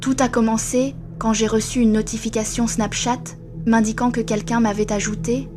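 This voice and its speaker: Flat-toned woman narrating horror. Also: on the high side, moderate pace, over music. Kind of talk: scripted first-person horror narration.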